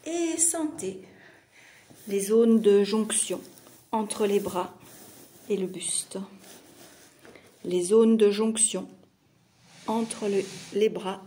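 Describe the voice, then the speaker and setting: A woman teaching yoga nidra, guiding a meditation in short, soothing phrases with long pauses. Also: mid-range voice, mellow. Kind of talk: guided meditation.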